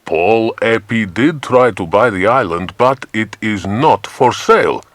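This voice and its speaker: A man voicing a small, excitable foreign police chief with clipped, formal English in a children's audiobook. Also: on the low side, a little slow, no echo. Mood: pleased, earnest.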